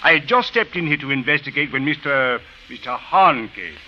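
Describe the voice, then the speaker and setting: An actor playing a dry, authoritative Egyptian police captain in an old radio drama, with formal phrasing. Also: male voice, mid-range voice, expressive, normal speed, natural voice. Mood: commanding, dry. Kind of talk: 1940s-50s radio drama acting. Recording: muffled old radio recording, faint noise.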